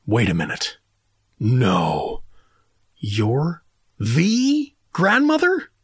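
Man playing a bewildered husky dad, animated. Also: mid-range voice, extremely slow, no room sound, studio quality, exaggerated voice. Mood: flustered, disbelieving. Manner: sarcastic, hesitant, flustered. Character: bewildered, wisecracking average suburban dad, adult man. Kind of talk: audio fiction comedy acting.

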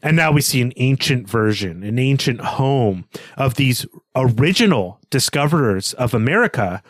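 A man's voice: medium pitch, lively intonation, normal speed, no echo. A man speaking analytically and articulately as a podcast co-host, discussing literature in a conversational way.

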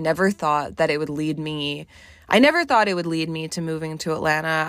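Woman, late twenties, podcast host, blunt and profane, lowish voice, dry close sound. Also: fairly bright tone, no echo. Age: late 20s. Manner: candid, blunt, swears freely, many "like" fillers. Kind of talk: solo podcast monologue.

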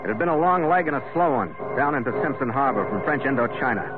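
An actor playing a hardy, wry sea captain, narrating in a hard-boiled, clipped manner as in a 1940s radio adventure. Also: male voice, mid-range voice, animated, fast talker, noisy background, natural voice. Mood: wry, storytelling. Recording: muffled old radio broadcast, loud music.

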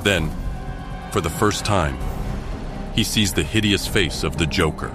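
A man narrating a scripted audio drama in a measured, dramatic storytelling manner. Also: deep voice. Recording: loud music behind.

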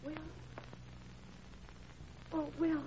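A woman's voice: very slow, mellow, slight background noise. An actress playing a fragile young woman patient in an old radio drama, tearful and melodramatic. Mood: overcome. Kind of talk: 1940s-50s radio drama acting. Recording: dull old radio recording.

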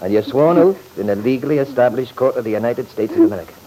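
A man's voice: mid-range voice, expressive, fast talker, dark tone, lightly acted. An actor playing an insistent, coaxing courtroom lawyer in an old-time radio drama, formal and stammering as he presses. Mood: forceful, pressing. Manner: pressing, formal, repeats himself with stammered lead-ins. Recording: noisy vintage radio recording.

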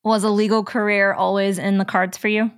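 A woman's voice: medium pitch, little pitch movement, a little fast, clear, resonant tone. A woman hosting a podcast, reading a polished intro and then asking interview questions. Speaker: podcast host.

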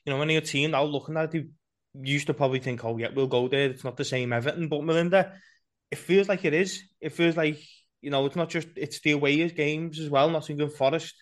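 A man's voice: a little high, steady tone, a little fast. A man hosting a football podcast, chatting in a colloquial, enthusiastic fan style. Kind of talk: casual fan chat.

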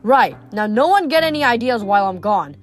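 A woman's voice: fairly high pitch, lively intonation, a little fast, natural voice. A woman reading an audiobook voices a dry, greedy old male ferryman of the dead, with faint music behind. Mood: menacing. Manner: dry, sardonic, haggling.

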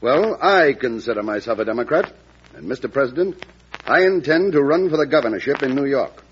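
An actor playing an ambitious, prickly vice president in an old radio drama, courtly and formal with an insinuating manner. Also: male voice, mid-range voice, lively intonation, a little fast, natural voice. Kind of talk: 1940s-50s radio drama acting. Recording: muffled, faintly noisy old radio recording.

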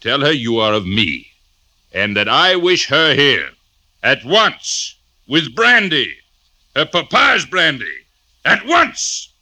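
Boastful blackmailer, swaggering, emphatic, piercingly bright, swooping pitch, dry radio drama sound. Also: male voice, sing-song, takes it slowly, no room sound, full character voice. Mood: commanding. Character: boastful, domineering Russian servant turned blackmailer, drinking vodka. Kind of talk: classic radio drama acting.

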